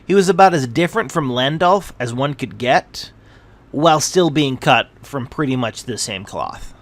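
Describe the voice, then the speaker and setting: A man's voice: medium pitch, animated, normal speed. A man reading a scripted fantasy tale aloud as its narrator.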